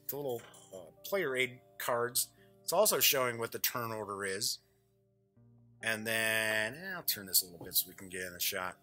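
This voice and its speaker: A man's voice: mid-range voice, bright, forceful tone. A man hosting a board game show, giving casual unboxing commentary in a chatty way, thinking aloud as he goes.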